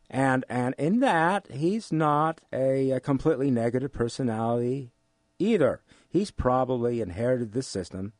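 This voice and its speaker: An older American man giving thoughtful interview answers in a measured, formal way, pausing as he searches for words. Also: mid-range voice, darkish tone, no echo. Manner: measured, deliberate, formal wording with hesitant pauses. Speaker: interview guest, college history teacher. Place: radio studio.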